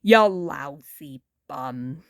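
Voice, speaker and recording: A woman acts out a jaded old male mudskipper from showbiz, pitched well down into a gruff register. He talks in a world-weary, wisecracking drawl, like a storybook cynic handing out advice. The sound is dry and close. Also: animated, very slow, no echo, heavily acted. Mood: muttered scorn. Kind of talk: character voice in storybook reading.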